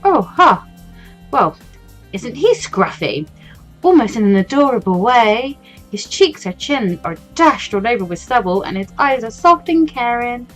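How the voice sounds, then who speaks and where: A woman's voice: a little high, big pitch swings, unhurried, fairly bright tone, rough recording. A woman hosting a game playthrough, reading story text aloud expressively and adding casual asides of her own. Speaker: let's play host. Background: faint game music.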